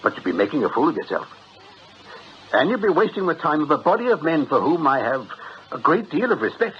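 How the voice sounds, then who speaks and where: A man's voice: a little high, sing-song, a little fast. Cheery british con man boss, super sing-song and smarmy, slightly hissy old radio drama. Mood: blandly confident. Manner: breezy, wheedling, chuckling, mock-polite. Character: jovial, slippery male agency boss plotting crime. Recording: muffled radio drama recording, faint hiss.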